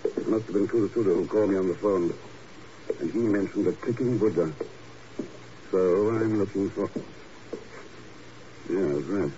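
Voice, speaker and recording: An actor playing a cool, commanding secret agent in a 1950s radio drama, speaking in a clipped, deliberate way. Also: male voice, low-pitched, mellow, lightly acted. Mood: intent. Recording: muffled, hissy old radio recording.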